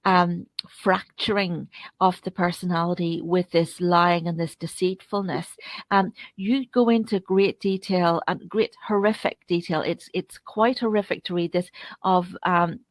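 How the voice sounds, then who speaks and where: Halting woman interviewer, dry close sound. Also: on the low side, fairly even intonation, no room sound. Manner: halting, roundabout, long-winded questioning. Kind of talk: unscripted interview discussion.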